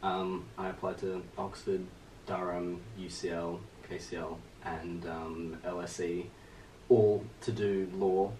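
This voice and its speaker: A young man from Australia, a law student speaking in a relaxed, measured way in a casual interview, recorded by a distant camera. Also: low-pitched. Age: young adult. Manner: relaxed, measured, trails off quietly. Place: small room with bookshelves, indoors. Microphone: far camera. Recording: roomy sound from a distant camera, faint noise.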